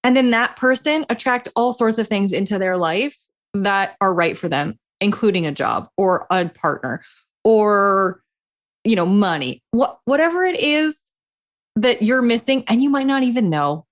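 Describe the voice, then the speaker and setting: A woman's voice: mid-range voice, animated, moderate pace. A woman co-hosting a podcast as a coach, chatting casually in a frank, emphatic way. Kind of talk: casual chat between co-hosts.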